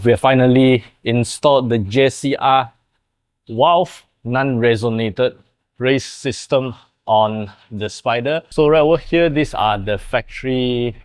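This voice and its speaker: A young man presents a car product showcase in an explanatory, demonstrative way. His voice is clean and smooth, at a medium pitch. The sound is dry, with very little room in it. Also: no echo. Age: young adult. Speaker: presenter of a car workshop video.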